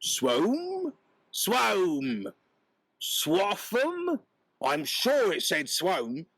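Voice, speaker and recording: Man doing a butcher's wife, brash, bossy, scolding, very high-pitched, swooping. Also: wildly swooping pitch, very slow, fairly bright tone, breathy edge, no room sound, full character voice. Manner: brash, scolding, lays down the law. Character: fierce London butcher's wife, loud and bossy.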